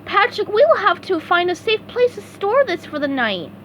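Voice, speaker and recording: A woman voicing an eager, cheerful young male sea sponge in a storybook reading, high and excitable. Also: normal speed, slight background noise, full character voice. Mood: earnest.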